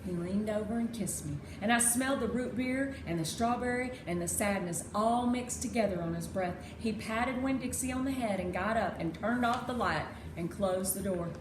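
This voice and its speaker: A woman narrating a children's chapter book aloud in an expressive storybook style. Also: medium pitch, normal speed, hardly any pauses. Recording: echoey room with clear background noise.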